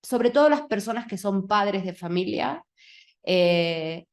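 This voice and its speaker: A woman speaks slowly and thoughtfully on a video call. She restarts her phrases as she searches for the right words, at a middling pitch. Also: animated. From Mexico. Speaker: film director. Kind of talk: video call panel answer.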